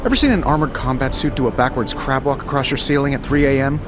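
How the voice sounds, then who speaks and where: An actor voicing a witty, cocky armored superhero inventor in a video game, glib and sarcastic. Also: male voice, mid-range voice, fast, natural voice. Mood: reflective, wry. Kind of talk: video game dialogue acting. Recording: slightly dull game audio under music.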